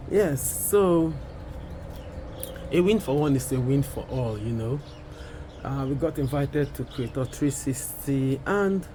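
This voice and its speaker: Slow-talking, husky young man vlogging. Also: mid-range voice, mellow, a little hiss or hum. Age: young adult. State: hoarse from a sore throat. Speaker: vlogger, content creator. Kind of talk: casual vlog chat to viewers. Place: outdoors, quiet residential estate street.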